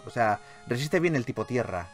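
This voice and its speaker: A man with a Castilian accent from Spain giving excitable, sweary let's play commentary as a gaming YouTuber. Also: mid-range voice, moderate pace, soft background music. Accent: Castilian Spanish from Spain. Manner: animated, expressive, swears freely.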